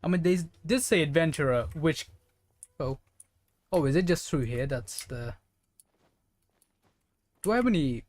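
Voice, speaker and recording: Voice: mid-range voice, animated, measured pace, long pauses, a little mellow, no echo. A game streamer giving casual gameplay commentary in a rambling, thinking-aloud way. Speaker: game livestreamer.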